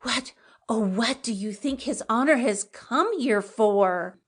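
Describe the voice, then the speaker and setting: A woman playing an excitable, devout old housekeeper with a breathless, exclaiming manner in a dramatic audiobook. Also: on the high side, slow pace, slightly rough, heavily acted. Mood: flustered excitement. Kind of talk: dramatic audiobook character reading.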